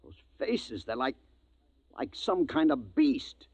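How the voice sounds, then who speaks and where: An actor playing a rough, wry, excitable spaceship crewman in a 1950s radio drama, talking in an animated, colloquial way. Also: medium pitch, slow, no room sound, full character voice. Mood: shocked, alarmed. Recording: tinny vintage radio recording.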